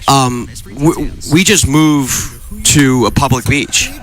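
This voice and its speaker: A man co-hosting a fishing radio show, talking in a rambling, halting way. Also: mid-range voice, faint music behind. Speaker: radio co-host and kayak tournament organizer. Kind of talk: casual radio chat.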